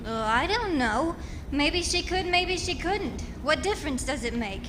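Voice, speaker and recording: An actress playing a sassy, no-nonsense young hitchhiker in old-time radio drama, brash and slangy. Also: female voice, high voice, lively intonation, measured pace. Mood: breezy. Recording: hall reverb, clearly noisy background.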